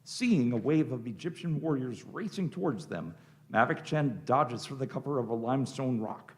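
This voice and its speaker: A man's voice: medium pitch, expressive, normal speed. A man narrating a scripted radio drama, reading out the scenes and action.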